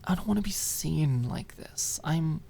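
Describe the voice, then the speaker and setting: A woman's voice: deep voice, animated, a little slow, vocal fry, mellow, exaggerated voice. A woman voicing a gloomy, guarded young woman in an audiobook drama, speaking hesitantly and awkwardly, trailing off. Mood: nervous, withdrawn.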